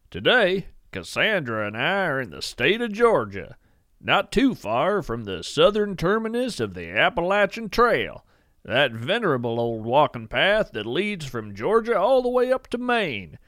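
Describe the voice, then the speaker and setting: A man playing a folksy, earnest naturalist who hosts an audio fiction show, narrating in a measured, lyrical way. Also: on the high side, fairly bright tone, no echo, clean studio sound, full character voice. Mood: welcoming, folksy. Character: folksy, wonder-struck male naturalist hosting a show about cryptids. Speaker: podcast host.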